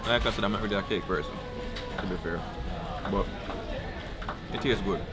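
A young man with a lowish voice, chatting in a relaxed way as he reviews a buffet. He films himself on a camera held at arm's length in a ship's dining room. Loud music plays behind him. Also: normal speed. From Jamaica. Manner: relaxed, chatty, food-tasting commentary. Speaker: vlogger. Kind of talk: casual travel vlog. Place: cruise ship buffet dining room, indoors.

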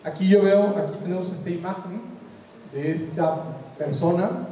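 A man's voice: high voice, normal speed, mellow, a little hiss or hum. An older man with a Mexican Spanish accent giving a conversational design lecture to an audience, often checking they follow. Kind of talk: conference lecture. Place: large hall with seated audience. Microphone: handheld mic. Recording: roomy hall echo from far off.

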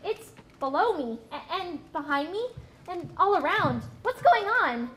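Woman as a very high-voiced, wide-eyed young whale. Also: sing-song, unhurried, fairly bright tone, heavily acted. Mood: wide-eyed wonder. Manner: wide-eyed, hesitant exclamations. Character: naive, curious young female sperm whale. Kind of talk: live puppet show. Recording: live theatre recording, faint noise.

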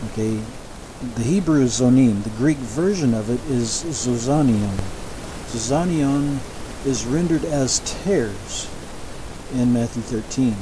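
Male Bible teacher, mid-pitched, measured and explanatory, recorded with a constant hiss behind him. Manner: measured, explanatory, with frequent restarts and asides. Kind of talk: sermon-style Bible lecture.

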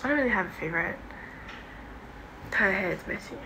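Young Singaporean woman, livestream host, medium-pitched and offhand, slangy casual chat. Phone mic in a room with clear background noise. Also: lively intonation, fairly quick. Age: young adult. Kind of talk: casual livestream chat, reading and answering comments. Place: home bedroom, indoors. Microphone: phone at arm's length. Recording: noisy phone recording in a room.

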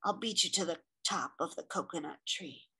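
A woman's voice: lowish voice, normal speed, sharp tone, breathy edge, full character voice. A woman voicing the letter A as a cheeky little boy, calling out a sing-song dare in a children's storybook. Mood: taunting.

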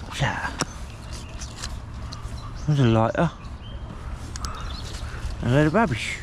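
Slow-talking man outdoors. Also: mid-range voice, a little hiss or hum. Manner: slow, relaxed, remarks on each find. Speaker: magnet fishing vlogger. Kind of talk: casual commentary while magnet fishing. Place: canal towpath outdoors. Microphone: body-worn action camera.

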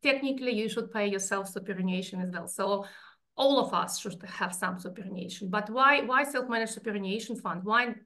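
A woman's voice: mid-range voice, fairly quick. A woman from Russia with a strong Russian accent, presenting a financial webinar over a video call and explaining each slide step by step. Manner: explanatory, steady, walking through slides.

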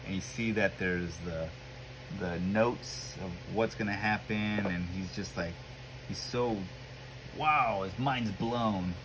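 A man's voice: on the low side, slow pace. A man co-hosting a comics show, casually talking through what he is looking at. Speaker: co-host of a comics review show. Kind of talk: casual chat, recapping a comic. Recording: noticeable background room noise.

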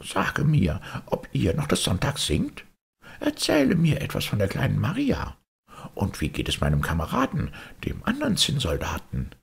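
A man's voice: lowish voice, huge pitch swings, moderate pace, gravelly, slightly rough, voice pushed up, exaggerated voice. A man voicing a small, lonely, restless tin soldier from a storybook, in a plaintive, lamenting and theatrical way. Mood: pleading. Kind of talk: storybook character voice.

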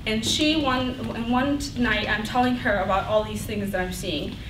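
A young woman from Bulgaria giving an earnest, animated personal talk as a student speaker in a brick-walled lecture hall. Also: a little high, moderate pace, distant mic. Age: young adult. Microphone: far room mic. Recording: echoey hall recorded from afar, noisy.

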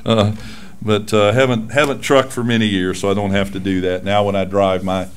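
A man's voice: lowish voice, fairly even intonation, normal speed, clean tone. A man in his sixties, a pastor giving casual, folksy church announcements from a lectern microphone in a small church room. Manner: folksy, self-deprecating, with frequent fillers.

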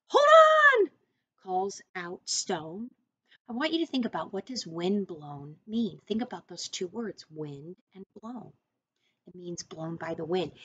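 Expressive American woman teacher, lively pitch, unhurried. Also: breathy edge, muffled recording. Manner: expressive, deliberate, instructing listeners directly. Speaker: first-grade teacher. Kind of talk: picture book read-aloud for young children with teaching asides. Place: small room indoors, seated at a desk.

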